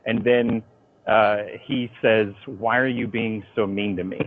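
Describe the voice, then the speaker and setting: A man being interviewed by phone as a writer, talking in an informal, halting but emphatic storytelling way. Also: on the low side, steady tone. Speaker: interviewee, comic book writer. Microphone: phone call. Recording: dull lo-fi phone call.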